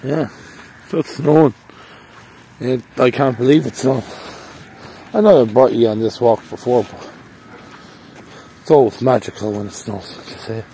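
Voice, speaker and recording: A man's voice: medium pitch, fairly even intonation, normal speed, pauses often, dark tone, a little hiss or hum. A man, chatting casually and rambling as he walks a snowy park path, talking into a phone held at arm's length. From Ireland. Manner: chatty and rambling, playful sing-song bursts. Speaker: vlogger. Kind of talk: casual walking vlog. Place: outdoor park path in falling snow. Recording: faint outdoor ambience.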